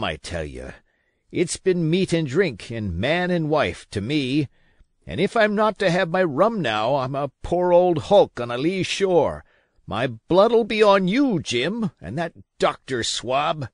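A man voicing a weak, feverish old sea captain who curses and pleads in rough sailor talk. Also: fairly high pitch, sing-song, slow pace, dry recording, studio quality, pitched above the performer's own voice. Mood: pleading, grumbling. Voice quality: feeble, wheedling.

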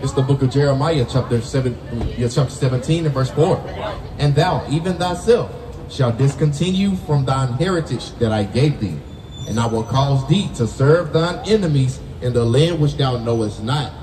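A man's voice: medium pitch, moderate pace. A man serving as scripture reader, reciting Bible verses aloud. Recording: distant mic amid crowd noise.

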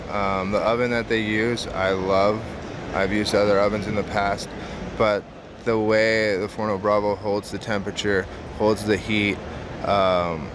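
A man in his thirties, a pizza business owner, answering interview questions casually with many "um" fillers, recorded close up at a trade show. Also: lowish voice. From San Diego, California. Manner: relaxed, frequent "um" fillers, earnest. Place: large indoor trade show hall. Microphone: camera close to face. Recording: noisy expo hall background.

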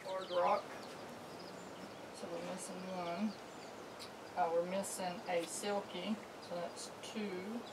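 A middle-aged woman, a homestead vlogger, chatting casually and briskly as she counts her poultry in a coop. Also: lowish voice, level tone. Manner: brisk, matter-of-fact counting. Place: metal-walled chicken coop. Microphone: camera on a tripod. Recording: distant camera mic, noisy coop. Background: clucking chickens and roosters.

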